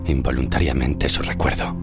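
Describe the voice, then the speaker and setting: Very deep, gravelly haunted sailor. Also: male voice, fairly even intonation, normal speed. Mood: brooding, melancholy. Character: haunted shipwrecked 16th-century sailor, recounting his tale, melancholy and awkward. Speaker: narrator and lead character. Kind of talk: literary horror audio drama narration. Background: music under the voice.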